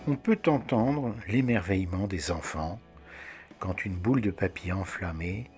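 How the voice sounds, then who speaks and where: A male presenter giving calm, measured scripted narration. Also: low voice, over loud music. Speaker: radio presenter.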